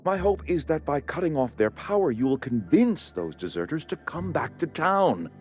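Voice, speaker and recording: An actor playing a pompous, courteous company administrator in a video game, speaking in a formal, wordy, officious way. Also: male voice, fairly high pitch, very animated, normal speed, a little mellow, soft background music. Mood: grave, earnest. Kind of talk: video game character acting.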